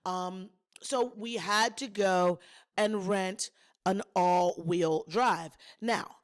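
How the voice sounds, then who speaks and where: A woman hosting a podcast, a little hoarse, chatting and telling a story straight to her listeners with plenty of emphasis. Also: medium pitch, drawn-out words. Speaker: podcast host. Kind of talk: casual solo storytelling.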